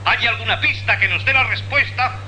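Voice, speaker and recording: An actor playing a sarcastic, impatient police commissioner in a radio play, barking orders through a telephone-like filter. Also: male voice, very high-pitched, fairly quick, a crowd in the background. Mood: sarcastic, scolding. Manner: scolding, rhetorical, commanding. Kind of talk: dramatic radio play acting. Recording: noisy, humming phone-line radio effect.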